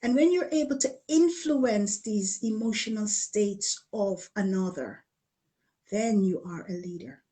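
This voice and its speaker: A middle-aged woman from Guyana who has lived in the UK, presenting a coaching talk in deliberate phrases, repeating words for emphasis. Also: medium pitch, warm tone. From Guyana, later living in the UK. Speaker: leadership coach presenting a challenge. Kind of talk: live online coaching talk from slides.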